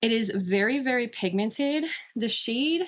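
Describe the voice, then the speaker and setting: A woman's voice: on the high side, lively intonation, unhurried. Chatty thirties woman reviewing makeup. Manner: chatty, emphatic, repeats words for emphasis. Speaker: beauty vlogger.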